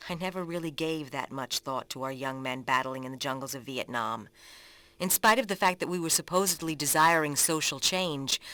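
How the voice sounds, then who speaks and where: A woman narrator reads written reflections dramatically, in a solemn, deliberate manner with pauses between phrases. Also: low-pitched, bright, forceful tone, no echo. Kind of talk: dramatic reading of written commentaries. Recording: acoustic guitar music behind the voice. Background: plucked guitar music.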